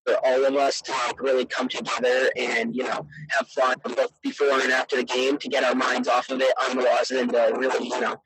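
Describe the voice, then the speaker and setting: A man's voice: medium pitch, completely flat delivery, brisk, few pauses, a bit bright, low-quality recording. A teenage male student athlete answering casually in an interview, earnest and hesitant, leaning often on verbal fillers. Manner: hesitant, earnest, peppered with "you know".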